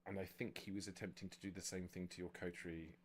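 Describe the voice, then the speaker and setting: Man acting vampire retainer, very low voice, formal, cautious, measured halting counsel, tabletop game. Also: level tone, a little hiss or hum, lightly acted. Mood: grave, careful.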